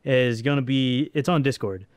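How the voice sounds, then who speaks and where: Easygoing man with a smooth, dry voice. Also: mid-range voice, moderate pace, no echo. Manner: easygoing, hesitant, many restarts and fillers, laughs often. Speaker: co-host of a gaming channel, programmer. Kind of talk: casual banter over gameplay.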